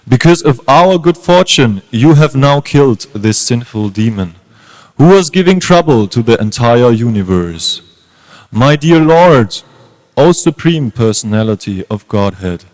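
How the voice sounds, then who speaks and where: In a reverberant hall, a creator god voiced by a man gives slow, reverent praise. The tone swings widely, grateful and declamatory, as in sacred drama. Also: medium pitch, a little mellow, natural voice. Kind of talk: dramatic scripture reading.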